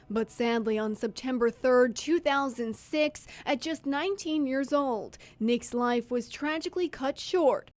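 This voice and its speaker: A woman reporter reading scripted narration for a news feature. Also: high voice.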